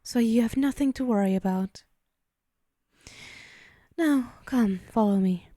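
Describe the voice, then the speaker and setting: A woman playing a possessive, teasing female demon in an ASMR roleplay, menacing yet indulgent, hushed and speaking directly to the listener. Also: fairly high pitch, a little slow, dry recording, clean studio sound, lightly acted. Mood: possessive, reassuring.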